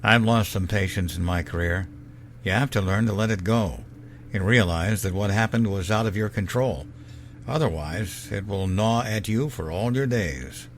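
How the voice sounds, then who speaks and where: A man playing a calm, reassuring, seasoned ship's doctor in a science fiction audio drama. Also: fairly low pitch, moderate pace, a little hiss or hum, close to an everyday voice. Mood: gently philosophical.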